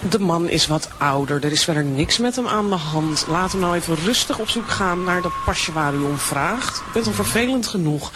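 A woman's voice: on the low side, expressive, normal speed, few pauses, background noise, close to an everyday voice. A woman playing a sarcastic, assertive adult daughter who protects her father, in a radio play. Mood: protective, annoyed. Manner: sharp-tongued, sarcastic, assertive.

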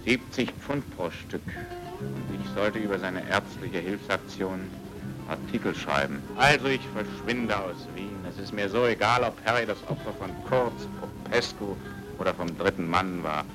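A terse, low-voiced noir man. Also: lively intonation, moderate pace, over music, rough recording. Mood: weary, bitter. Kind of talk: radio crime drama acting.

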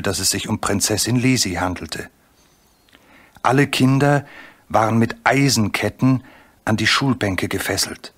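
A man narrating a children's story from a script, with measured, dramatically drawn-out phrasing. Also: fairly low pitch, fairly bright tone. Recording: clearly noisy old recording.

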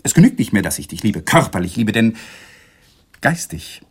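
An actor playing a bitter, weary husband who wants a divorce, with a cold, contemptuous manner, in a German radio play. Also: male voice, on the low side, very animated, moderate pace, husky. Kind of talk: 1980s German radio drama acting.

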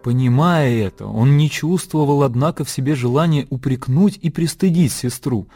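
Male narrator for radio drama: mid-pitched, measured literary storytelling, music bed. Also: warm tone. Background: music and birdsong.

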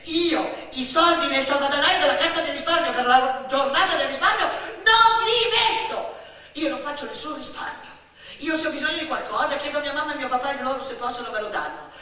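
A woman's voice: high-pitched, fairly quick, pushed and bright. An actress playing a cheeky, indignant little schoolgirl in a theatrical monologue, with exclamatory, dramatic delivery and mocking mimicry. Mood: excited, defiant. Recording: echoey theatre hall, distant microphone.

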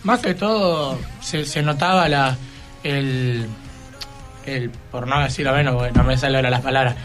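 A man's voice: mid-range voice, normal speed, a bit bright. A teenage male student guest in a radio studio, giving his thoughts in a hesitant, careful way. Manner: hesitant, searching for words. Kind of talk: reading a report and informal opinion. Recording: music behind the voice.

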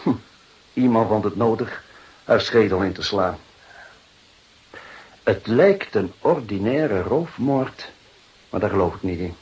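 Male detective, radio drama: lowish voice, measured, probing, dryly ironic, slightly dull recording. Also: lively intonation, darkish tone. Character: shrewd, dry, measured male police detective. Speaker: police detective. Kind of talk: Dutch radio drama acting.

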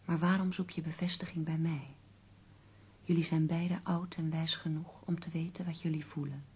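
Actress as a low, soft-voiced devoted companion. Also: female voice, little pitch movement, normal speed, a little hiss or hum, close to an everyday voice. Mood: earnest, measured. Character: devoted, earnest female companion of an ailing poet. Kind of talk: Dutch radio play acting.

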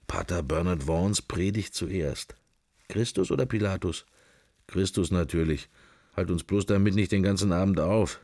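A man's voice: low-pitched, moderate pace, a little mellow, no echo, studio quality. An actor playing a wry, sceptical middle-aged man murmuring his fragmentary private thoughts in a radio drama. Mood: reminiscing, wry. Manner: murmured, fragmentary, associative musing. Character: musing middle-aged man, wry, sceptical, worldly, silently observing church. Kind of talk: radio drama interior monologue.

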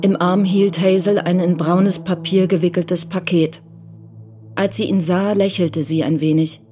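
A woman narrating a German radio crime drama in a scripted literary style, measured and composed. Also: lowish voice, steady tone, mellow. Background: music under the voice.

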